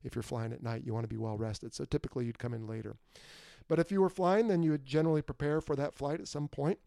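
A man's voice: mid-range voice, dark tone, smooth, no room sound. A man in his forties hosting a podcast, answering a listener question and then interviewing a guest in an upbeat, emphatic way. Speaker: podcast host.

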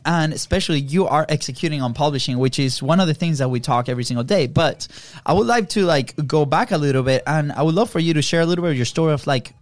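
Male co-host, higher voice, close dry sound, chatty and gushing, loops back on his phrases. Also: dry recording. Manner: effusive, repeats words and phrases. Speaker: podcast co-host. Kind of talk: casual, chatty live show hosting.

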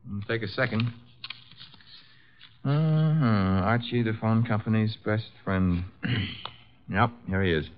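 A man's voice: on the low side, slow pace, pauses often. An actor playing a cheeky, wisecracking detective's assistant in a 1950s radio drama, casual and sardonic in his delivery. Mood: breezy, wry. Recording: dull lo-fi old radio recording.

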